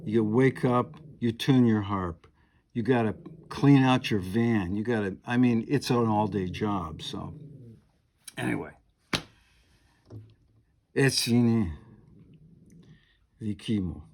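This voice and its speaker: A man's voice: fairly low pitch, takes it slowly, pauses often, darkish tone, slight background noise. A man in his seventies, a piano and harp player, talking at home in a meandering, chatty way with lots of fillers and asides. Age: 70s. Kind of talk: rambling monologue to camera.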